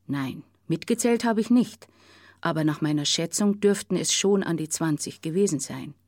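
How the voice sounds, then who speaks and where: A woman acts out a witness from a parsonage, speaking in a low register. Her account is formal and exact, worded with care in the manner of a naturalistic radio drama. The sound is dry and close. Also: darkish tone, no room sound, lightly acted. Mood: composed, exact. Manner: formal, precise, measured wording. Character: precise female parsonage resident giving witness statement. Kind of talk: naturalistic German radio play monologue.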